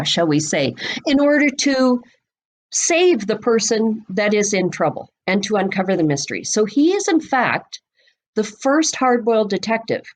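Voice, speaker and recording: A woman with a mid-range voice talks as the knowledgeable guest on a podcast. She explains history in an emphatic, conversational manner. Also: medium pitch. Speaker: guest expert and bookseller.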